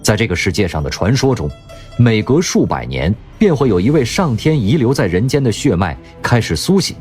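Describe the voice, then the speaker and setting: A man narrating an audio drama in an expressive storytelling manner. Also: mid-range voice, a little fast, soft background music.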